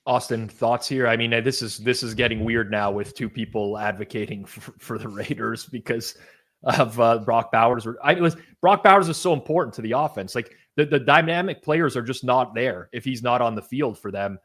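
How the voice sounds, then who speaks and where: A man's voice: medium pitch, normal speed, a bit bright. A man hosting a sports betting discussion, talking casually with restarts and dry asides. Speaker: betting show host.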